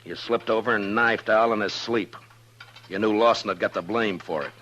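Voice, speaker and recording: Casting: deep, deliberate, weary frontier lawman, grave and sparing with words, old radio drama. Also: bright, forceful tone, dull audio. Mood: accusing, firm. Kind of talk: 1950s radio Western acting. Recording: noisy old radio recording.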